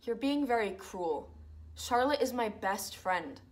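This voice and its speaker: A woman's voice: high-pitched, very animated, measured pace, bright, forceful tone, full character voice. A young woman playing a hurt, accusing young woman. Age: young adult. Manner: emotional, accusing, pleading. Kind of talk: dramatic acting monologue.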